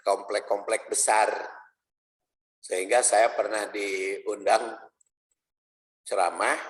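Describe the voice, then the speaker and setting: Man, preacher, slow deliberate sermon, reverberant hall. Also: medium pitch. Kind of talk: dawn mosque sermon. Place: mosque hall. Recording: echoey hall with long reverb.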